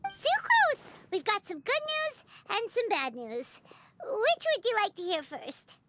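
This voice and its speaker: A woman voicing a tiny, childlike female fairy companion in a video game, squeaky, bubbly and blunt, full of exclamations. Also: wildly swooping pitch, measured pace, heavily acted. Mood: excited, surprised. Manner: bubbly, exclamatory, refers to herself by name. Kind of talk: cartoonish video game voice acting. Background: loud music.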